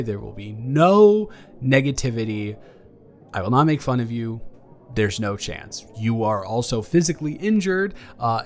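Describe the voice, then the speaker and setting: A man playing an awkward, affectionate young ex-boyfriend in an improvised intimate audio roleplay, talking in a hesitant, playful, earnest ramble. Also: fairly low pitch. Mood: earnest, reassuring.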